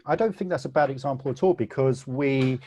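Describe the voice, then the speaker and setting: Man, interviewer, husky, mellow, conversational questions. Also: mid-range voice, fairly quick. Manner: conversational, agreeing, long winding questions with fillers. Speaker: webinar host interviewer.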